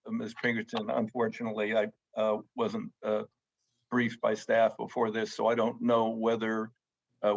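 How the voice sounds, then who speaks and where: Man, committee questioner, gravelly flat delivery, halting, dull lo-fi audio. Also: fairly low pitch. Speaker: committee member questioning witnesses. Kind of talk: questioning at a formal hearing.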